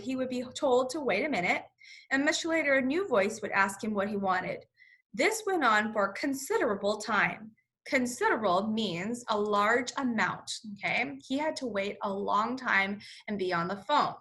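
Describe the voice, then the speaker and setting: A woman teacher reading a children's story aloud, pausing to explain words simply and check understanding. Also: a little high, fairly bright tone. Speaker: first grade teacher. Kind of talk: children's read-along with word explanations.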